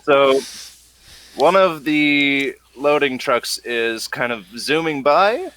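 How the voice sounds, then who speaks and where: A man acts as game master for a tabletop session. His delivery is informal and halting, as if thinking aloud, and his intonation moves around a lot in a mid-range voice. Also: medium pitch, animated, fairly bright tone, no echo, rough recording. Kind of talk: casual tabletop game narration.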